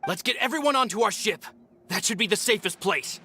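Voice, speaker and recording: A man's voice: high voice, lively intonation, brisk, bright, forceful tone, slight background noise, exaggerated voice. A man voicing a loyal young gang member in a video game, reporting in an urgent way.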